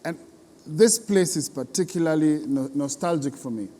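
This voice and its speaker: A man's voice: fairly high pitch, moderate pace, dark tone. A man with a Kenyan accent hosting a conversational interview, with frequent affirming interjections as he talks and listens. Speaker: interviewer, host. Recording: long reverberant hall, clear background noise.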